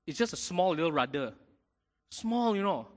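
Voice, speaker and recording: A man preaching a sermon in a lively way, often checking in with his congregation. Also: on the high side, normal speed. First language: not Mandarin. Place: church hall. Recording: long hall reverb.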